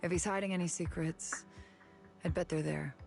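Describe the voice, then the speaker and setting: A cynical doctor in an anime game. Her voice is low and full of gravelly fry, delivered coolly and with dry sarcasm, with music underneath. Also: steady tone, normal speed. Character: Veronica, cool, cynical adult female doctor. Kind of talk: anime video game voice acting.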